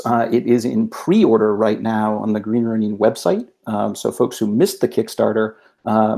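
A man giving a casual product update as a podcast guest over a video call, in halting phrases. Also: lowish voice, gravelly, mellow. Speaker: podcast guest, game publisher representative.

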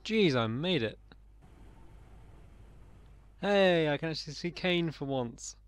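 Sarcastic croaky-voiced gamer. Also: male voice, on the high side, expressive, slow, dry recording. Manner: sarcastic, swears often. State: slightly croaky. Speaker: let's play gamer. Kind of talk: casual gameplay commentary.

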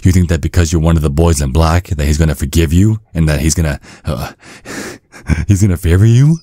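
A man playing a seductive, vengeful vampire in an ASMR roleplay, taunting in a sultry, husky, breathy voice. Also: extremely low pitch, fairly quick, warm tone, dry recording. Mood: scornful, taunting. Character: seductive, vengeful male vampire, former protégé of a priest.

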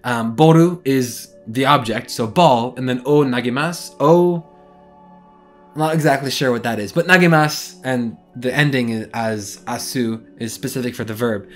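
A young man talking casually to his webcam in a small bedroom and explaining language learning ideas in an animated way. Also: medium pitch, moderate pace, faint music behind. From English-speaking. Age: young adult. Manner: animated, gestures a lot while explaining. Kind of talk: casual talking-head vlog.

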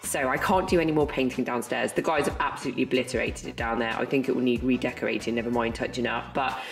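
A woman's voice: a bass voice, steady tone, music in the background. A woman in her thirties renovating her home, talking candidly and matter-of-factly to camera. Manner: candid, matter-of-fact, brisk. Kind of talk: casual talk to camera. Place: large house under renovation, bare rooms indoors. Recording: echoey empty rooms.

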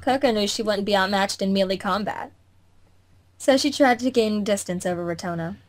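A woman narrates a fantasy visual novel at a middle pitch. She reads it like a dramatic story, in an amateur fandub style, sometimes tripping over words. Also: normal speed.

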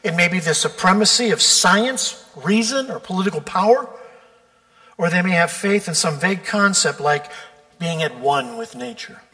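A man preaches from the pulpit in a slightly high voice. He is measured and deliberate, drawing out key words for emphasis. Long reverb from the church sanctuary trails behind his voice. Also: animated, bright, forceful tone. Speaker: pastor. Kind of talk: sermon.

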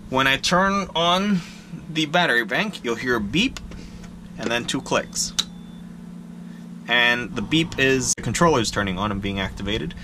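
A man's voice: medium pitch, background noise. A young man on his boat, casually and practically walking through how his gear works, filmed with a camera at arm's length in a small wooden cabin. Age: young adult. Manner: plain, practical, self-deprecating. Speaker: sailing vlogger.

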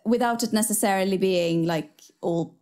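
A young woman, a native Swedish speaker and singer, co-hosting a casual video-call chat, talking in a relaxed, rambling way with many fillers. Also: mid-range voice, moderate pace. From Sweden. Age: young adult. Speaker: podcast co-host, singer. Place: small room at home. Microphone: headphones with studio mic on video call.

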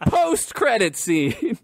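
A man's voice: high-pitched, huge pitch swings, extremely slow, no echo, clean studio sound. A man hosting a comedy podcast, telling comic fantasy stories in a crude way and often laughing. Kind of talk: comic fantasy storytelling with banter.

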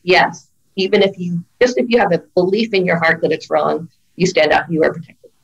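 A woman's voice: on the low side, steady tone, fairly quick, gravelly, husky, muffled recording. A middle-aged woman lawyer on a video call, giving earnest, emphatic advice in casual conversation. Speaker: employment lawyer guest. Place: office with brick wall. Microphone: video call webcam.

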